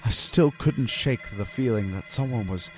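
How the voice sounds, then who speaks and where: A man's voice: mid-range voice, normal speed, natural voice. A man playing a haunted husband and father, narrating a first-person horror story in an ominous, earnest and theatrical manner. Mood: uneasy recollection. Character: haunted husband and father confessing a terrifying ordeal. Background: background music.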